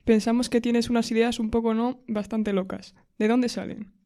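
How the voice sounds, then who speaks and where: A woman's voice: on the high side, flat delivery, moderate pace, dark tone. A woman interviewer putting prepared questions to a guest.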